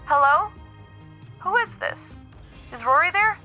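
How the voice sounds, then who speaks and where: A woman's voice: very high voice, lively intonation, measured pace, pushed and bright, soft background music, full character voice. A woman playing a determined, worried mother who is a space scientist in an audio drama. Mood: tense, urgent.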